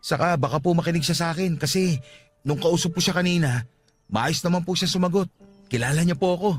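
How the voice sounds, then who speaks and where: A man's voice: a little high, a little fast, faint music behind, close to an everyday voice. An actor playing a patient, regretful young father with a Filipino accent, earnest and respectful, in a radio drama. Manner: soft-hearted, earnest, respectful.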